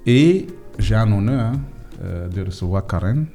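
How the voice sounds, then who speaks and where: Deep-voiced man hosting, mellow tone. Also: expressive, a little slow, faint music behind. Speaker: host. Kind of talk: podcast interview with youths.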